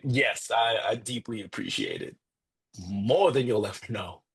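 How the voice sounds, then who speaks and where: An actor playing an exhausted, lovestruck young man in a live script read, earnest and halting, with a husky voice. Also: lowish voice, wildly swooping pitch, heavily acted. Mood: grateful, weary. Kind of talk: live screenplay table read.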